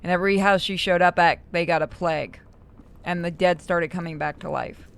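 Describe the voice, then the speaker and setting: A woman's voice: fairly low pitch, fairly even intonation, fairly quick, gravelly, a little hiss or hum. A woman hosting a game stream, chatting casually with friends in an informal, sweary, exclamatory way. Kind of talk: casual gaming commentary with friends.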